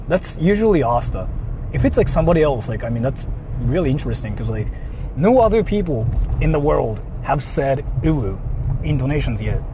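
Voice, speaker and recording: Male livestreamer, Japanese accent, slightly high voice, rambling casual chat, faint car noise behind. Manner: rambling, repeats himself, crude joking. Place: inside a car while driving. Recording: noisy moving car interior.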